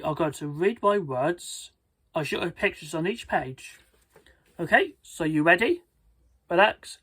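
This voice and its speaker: A storybook reader and video host with a halting, careful delivery and stumbles, reading aloud in a husky voice. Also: medium pitch, no room sound. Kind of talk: read-aloud storybook narration.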